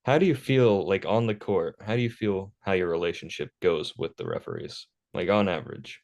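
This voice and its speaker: A man with a fairly low voice hosts an interview and asks relaxed questions. He pauses on fillers as he goes. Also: dull audio. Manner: informal, with hesitant fillers.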